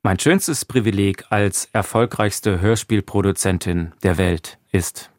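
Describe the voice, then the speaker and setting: A man's voice: deep voice, unhurried, a little mellow, dry recording, clean studio sound. A man hosting a radio talk show, moderating an interview and reading out short prompts. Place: dry radio studio.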